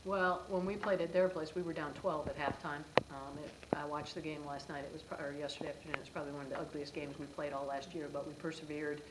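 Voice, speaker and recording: A middle-aged woman coach talks fast in a low voice, brisk and matter-of-fact, filling gaps with "um" and "you know". She sits at a press table in an echoey room with a faint hiss underneath. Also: little pitch movement. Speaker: head basketball coach. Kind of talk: post-game press conference. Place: press room before a sponsor backdrop, seated at a table.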